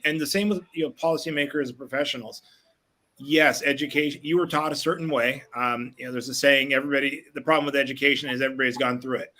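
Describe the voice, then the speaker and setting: Bright-voiced man speaking as an educator. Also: mid-range voice, rough recording. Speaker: educator panelist. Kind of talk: unscripted panel discussion.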